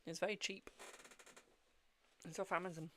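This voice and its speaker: A woman's voice: fairly low pitch, fairly even intonation, moderate pace, bright, forceful tone, slight background noise. A woman from the UK with a British accent, casually chatting on her tarot livestream from home. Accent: British English. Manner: chatty, hums to herself, calls out to family. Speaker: tarot reader livestream host. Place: home room. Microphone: cheap webcam mic.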